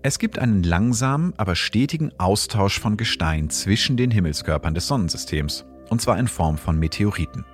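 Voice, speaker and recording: A male astrophysicist hosting a podcast, explaining the science behind a story in a precise, lively narration. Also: lowish voice, normal speed, few pauses, faint music behind.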